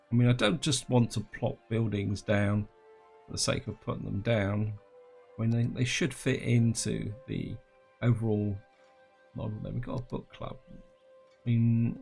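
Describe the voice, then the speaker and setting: Low gravelly man narrating gameplay. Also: a little mellow. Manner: thinking aloud, addressing viewers as guys. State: slightly croaky throat. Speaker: gaming channel host. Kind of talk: casual gameplay commentary.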